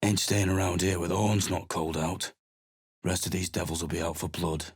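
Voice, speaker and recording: An actor playing a surly, battle-worn mercenary adventurer in a video game, speaking in a gruff, blunt, dismissive way. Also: male voice, deep voice, little pitch movement, moderate pace, dry recording, studio quality.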